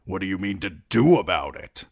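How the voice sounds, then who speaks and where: A man's voice: low-pitched, very animated, normal speed, vocal fry, a little mellow, breathy edge, no echo, clean studio sound, heavily acted. A man voicing a brutish young lord who growls and complains. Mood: sneering.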